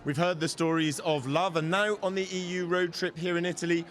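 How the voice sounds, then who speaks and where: A man correspondent presenting a scripted news report outdoors in a steady presenter manner. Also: a little high, a little fast. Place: outdoors in a city.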